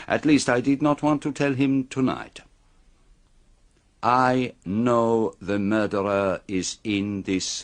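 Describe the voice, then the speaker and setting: An actor playing a precise, theatrical detective in a radio mystery, speaking formally with dramatic pauses. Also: male voice, medium pitch. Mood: grave, commanding. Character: precise, theatrical male foreign detective revealing a murderer.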